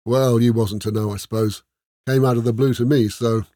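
A man voicing a loud, grumbling army sergeant with rough grammar and a barking manner in an audiobook. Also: mid-range voice, steady tone, normal speed, no room sound, clean studio sound, close to an everyday voice. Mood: gruff, grudging. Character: bellowing, grumbling male army sergeant, rough-spoken bully.

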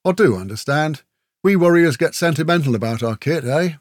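A man's voice: on the high side, animated, brisk, no echo, voice pushed up, close to an everyday voice. Cheeky, talkative old-tale warrior, done by a male narrator: folksy, breezy, matey; fantasy audiobook, dry sound. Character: breezy, chatty male kinfolk warrior and teasing storyteller. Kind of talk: fantasy audiobook character dialogue.

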